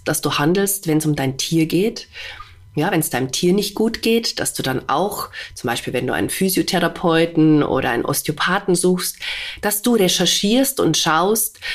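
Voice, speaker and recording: A woman's voice: lowish voice, expressive, moderate pace. A woman hosting a podcast with a stuffy, slightly congested voice, talking earnestly and directly to the listener with rhetorical questions. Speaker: podcast host; animal communicator. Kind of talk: spontaneous monologue to listener.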